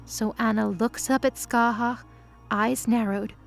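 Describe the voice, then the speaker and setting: A woman's voice: on the high side, steady tone, unhurried, vocal fry, soft background music. A woman narrating a scripted present-tense mythology drama.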